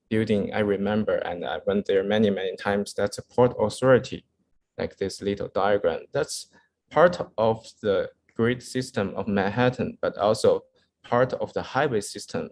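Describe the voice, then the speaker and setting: A man with a fairly low voice and a Chinese accent talks through slides as a guest lecturer. His delivery is informal and halting, with frequent hesitations while he looks for the right word. Some background noise sits behind his voice. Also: gravelly, a little mellow. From Wuhan, China. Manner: hesitant, halting, searching for words. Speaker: architect giving a guest lecture.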